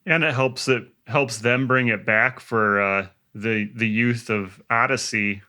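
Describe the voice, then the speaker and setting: A man's voice: medium pitch, fairly even intonation, unhurried, bright, forceful tone. A man chatting casually and jokingly on a podcast.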